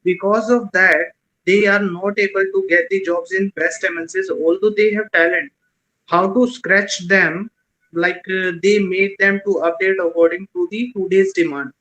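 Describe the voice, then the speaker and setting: A man from the audience asking a halting question, searching for his words. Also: high voice, steady tone, bright, forceful tone, poor audio.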